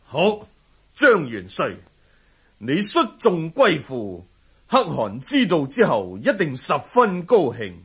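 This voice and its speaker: An actor playing a magnanimous, commanding Mongol prince in a historical radio drama, with a hearty, unhurried manner. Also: male voice, on the high side, huge pitch swings, fairly bright tone, slightly rough, exaggerated voice. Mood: pleased, hearty. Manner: hearty, unhurried, laughing boastfully.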